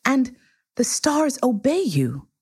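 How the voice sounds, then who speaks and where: Woman playing a timid, high-voiced little prince. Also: big pitch swings, slow, husky, heavily acted. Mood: curious, awed. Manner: hesitant, deferential, questioning. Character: timid, polite, curious little boy prince.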